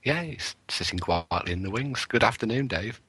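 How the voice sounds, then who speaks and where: A man's voice: on the low side, animated, moderate pace, fairly bright tone, slightly rough, no room sound. A man from Wales co-hosting a radio show, teasing with dry wit. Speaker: co-host. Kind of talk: jokey radio show chat.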